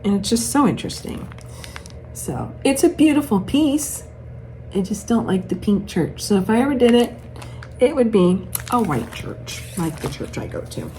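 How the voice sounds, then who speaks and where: A woman's voice: fairly high pitch, animated, moderate pace, mellow. A woman chatting casually to camera as a craft vlogger, gushing and thinking aloud as she shows off patterns. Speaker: cross-stitch vlogger showing patterns. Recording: clearly noisy room ambience.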